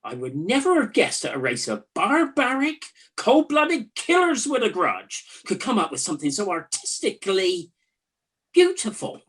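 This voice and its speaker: A woman's voice: medium pitch, wildly swooping pitch, normal speed, breathy edge. A woman playing a chatty, gullible Trojan soldier in a comic radio play, musing in a wry, conversational way. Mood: earnestly impressed. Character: bored, gullible, chatty Trojan night-watch soldier in a spoof.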